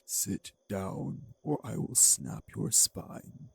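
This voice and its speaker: A deep, heavily creaky male vampire, voiced by an actor for an audio roleplay. He is urbane and menacing, choosing his formal words slowly and with condescension, over music. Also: sing-song, a bit bright, slight background noise, full character voice. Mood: calm menace. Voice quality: gravelly, low. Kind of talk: audio roleplay drama acting.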